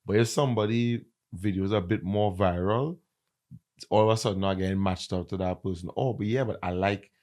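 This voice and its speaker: A man with a Trinidadian accent, a content creator and comedian, chatting in an interview and telling stories with lively emphasis. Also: on the low side, moderate pace. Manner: animated, emphatic storyteller. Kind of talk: casual interview chat.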